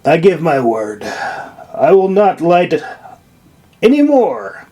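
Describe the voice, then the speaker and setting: A man's voice: a little high, wildly swooping pitch, takes it slowly, mellow, husky, pitched above the performer's own voice, heavily acted. A man voicing a stubborn, stoic male cleric in a fantasy audiobook, confident and unapologetic.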